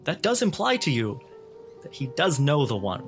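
A man's voice: fairly high pitch, huge pitch swings, moderate pace. A man running a tabletop game as game master, narrating and improvising scenes for the players. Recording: music under the voice. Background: background music.

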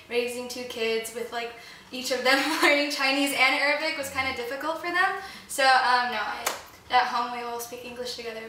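A young woman talks freely and pokes fun at herself, often breaking into laughter. Her voice is bright and pitched fairly high. The room echoes heavily, and a distant camera mic catches her with faint hiss. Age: young adult. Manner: chatty, self-deprecating, laughs often. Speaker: panel guest. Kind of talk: casual chat. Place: echoey living room indoors. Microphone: far camera mic.

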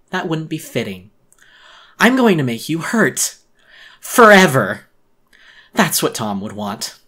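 A man's voice: medium pitch, a bit bright, voice pushed up, exaggerated voice. A man voicing a deranged female animal monster, vicious, obsessive and anguished, in a menacing, dramatic horror audiobook performance.

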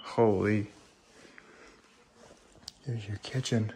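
A man's voice: lowish voice, steady tone, very slow, warm tone, slight background noise. A man getting over a cold gives informal, awed walkthrough commentary as he explores empty rooms with a handheld camera. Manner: informal, awed, mildly sweary. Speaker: urban exploration vlogger. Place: inside empty rooms of a large abandoned house.

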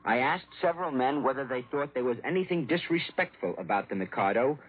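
A man's voice: medium pitch, lively intonation, a little fast, breathy edge. A man telling a scripted human-interest news report in 1940s radio style, on a tinny old recording. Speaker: foreign news correspondent.

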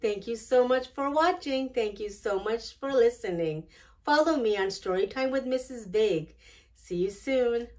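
Slow-paced woman reading a children's story. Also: fairly high pitch, a little mellow. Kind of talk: children's picture book read-aloud. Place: small decorated indoor storytime set.

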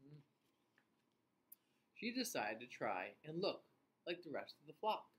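Man, children's read-aloud host, highly varied intonation, fairly high voice, expressive, pausing for answers. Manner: expressive, asks questions and pauses as if children answer. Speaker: children's class host and teacher reading aloud. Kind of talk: animated picture-book read-aloud to young children. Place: small indoor room.

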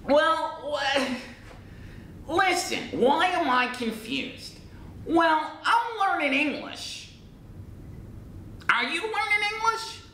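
A man's voice: drawn-out words, pauses often, heavily acted. A middle-aged man playing his own chatty, self-doubting cousin, an English learner, talking to camera in a small room. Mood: earnest. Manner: animated, gestures a lot, repeats and restarts. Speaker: English teacher in character. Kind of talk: playful character monologue to camera. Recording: roomy echo, faint rumble.

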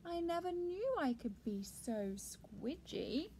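A woman voicing a playful, eager young male octopus from a children's storybook, excitable and full of wide-eyed exclamations. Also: extremely high pitch, slow, slight background noise, voice pushed up. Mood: amazed, excited.

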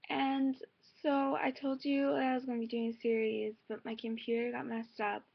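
Young female vlogger, slightly high voice, informal rambling chat, muffled lo-fi laptop recording. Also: monotone, moderate pace, creaky. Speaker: amateur singer and vlogger. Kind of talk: casual chat to viewers. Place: bedroom, indoors. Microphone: laptop's built-in mic at arm's length. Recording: dull lo-fi laptop microphone.

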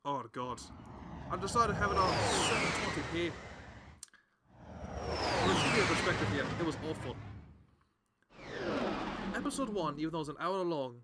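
A man's voice: a little high, expressive, normal speed, long pauses, very noisy. A young man in a put-on Irish accent, rambling and correcting himself as he chats through a game. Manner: rambling, self-correcting chatter. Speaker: gameplay commentator. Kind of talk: casual gameplay commentary. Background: game music.

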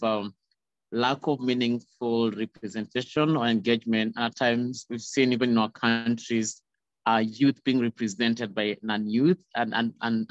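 A man in his thirties answers as a panelist, measured and articulate, at an average pitch. The call audio is slightly muffled and very dry. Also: dry recording. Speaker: youth panelist. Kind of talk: discussion answer on video call. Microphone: video call, close device. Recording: dull, lo-fi video call audio.

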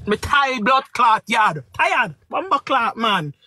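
A woman's voice: fairly high pitch, big pitch swings, takes it slowly, soft background music. A woman with a Jamaican accent, exhausted and upset, swearing and repeating curses on a live stream. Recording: loud background noise.

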